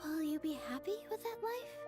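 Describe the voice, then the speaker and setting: A woman voicing a tiny, cheerful, bubbly childlike fairy companion in a video game, squeaky and earnest. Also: lively intonation, moderate pace, loud music behind the voice. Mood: earnest, encouraging. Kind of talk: video game character dialogue.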